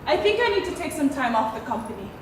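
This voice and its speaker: High-voiced sassy young woman. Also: big pitch swings, fast. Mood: earnest. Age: young adult. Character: sassy, independent-minded grown daughter. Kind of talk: stage play acting. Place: theatre stage. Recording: very echoey, noisy distant theatre recording.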